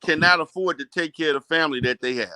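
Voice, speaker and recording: A man's voice: fairly high pitch, fast, sharp tone, clear, resonant tone, dry recording. A man in a heated casual group debate, speaking insistently, cutting in and repeating his words.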